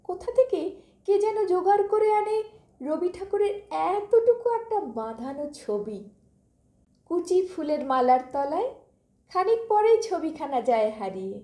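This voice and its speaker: Woman, high voice, expressive poetry recitation, deliberate dramatic phrasing, echoing room. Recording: roomy, echoing voice recording.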